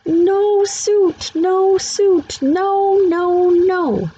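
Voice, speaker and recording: Stubborn toddler boy, voiced by a female reader, pitched way up, whiny and protesting, storybook style. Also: extremely slow, warm tone, muffled recording, a little hiss or hum, full character voice. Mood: defiant screaming. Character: screaming, stubborn little boy refusing clothes.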